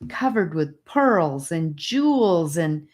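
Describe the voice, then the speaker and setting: A woman's voice: fairly low pitch, sing-song, slow, darkish tone. A woman voicing a composed, assertive young girl in an audiobook, talking plainly. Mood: pleased. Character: composed, appreciative young girl, sometimes bossy. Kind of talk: audiobook character voice.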